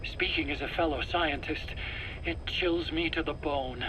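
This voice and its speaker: A man's voice: on the high side, measured pace, close to an everyday voice. A man playing a nervous, evasive scientist under interrogation in a video game, explaining himself earnestly. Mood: uneasy, persuasive. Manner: earnest explaining, stammers and pleads when pressed. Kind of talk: dramatic video game voice acting. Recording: noisy cassette-tape interrogation recording.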